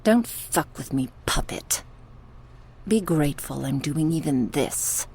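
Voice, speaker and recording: Woman playing a slow, mocking, sadistic goddess. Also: deep voice, lively intonation, vocal fry, mellow, slightly rough, exaggerated voice. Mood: menacing. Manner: taunting, sarcastic, slow and drawn out with sighs. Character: sadistic goddess, mocking, cruel, playfully menacing captor. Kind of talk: ASMR horror roleplay.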